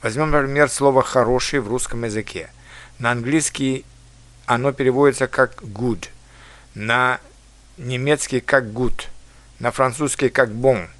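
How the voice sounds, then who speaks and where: A man who teaches languages and translates, lecturing in a measured way and reading foreign phrases slowly and carefully. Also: medium pitch, fairly even intonation, fairly bright tone, dry recording.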